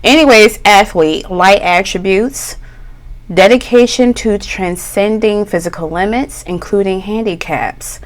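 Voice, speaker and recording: A middle-aged woman giving a tarot reading in a chatty, slangy way, a little hoarse from a cough. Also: mid-range voice. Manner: slow, relaxed, chatty with slang. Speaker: tarot reader. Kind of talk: pick-a-card tarot reading.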